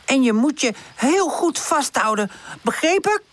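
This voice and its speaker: A plucky, caring boy puppy from a children's storybook, acted out by a woman. The voice is pitched well up and moves animatedly. He sounds protective and brave, with some nerves underneath. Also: animated, normal speed, fairly bright tone. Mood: stern, caring. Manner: stammers and hesitates when worried. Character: brave, protective older boy puppy, reassuring but nervous.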